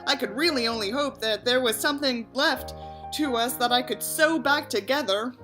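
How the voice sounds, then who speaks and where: A woman's voice: high-pitched, normal speed, pushed and bright, faint music behind. A woman reading a story aloud as a narrator, breaking in with mocking, sarcastic asides and casual swearing. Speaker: audiobook reader and commentator.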